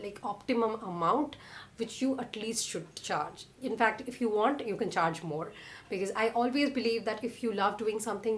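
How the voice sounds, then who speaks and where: A young woman with an Indian accent, telling her own story in a casual, chatty vlog and often using "like" and "you know". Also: fairly high pitch. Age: young adult. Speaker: vlogger.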